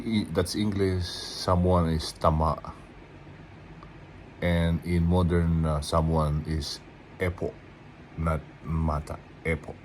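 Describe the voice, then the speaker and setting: A man, a Tagalog speaker, calmly going through vocabulary word by word and spelling some of them out. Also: low-pitched, a little hiss or hum, poor audio. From Philippines. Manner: unhurried, word-by-word, spells words out. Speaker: language teacher. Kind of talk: casual vocabulary lesson.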